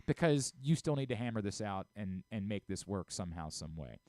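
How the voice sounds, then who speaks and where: A man's voice: fairly low pitch, very animated, brisk, warm tone, no echo. A man hosting a sports radio show in casual discussion, emphatic and repeating phrases to press his point. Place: radio studio.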